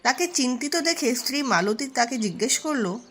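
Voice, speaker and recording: High-voiced woman narrating a story. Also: lively intonation, moderate pace, fairly bright tone, slight background noise.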